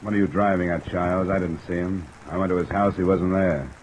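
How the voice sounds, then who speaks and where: Man acting a low-voiced, terse radio detective. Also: steady tone, a little fast, natural voice. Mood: guarded. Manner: terse, probing. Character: male freelance insurance investigator, probing, cool, resolute. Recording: old radio recording with loud rain noise.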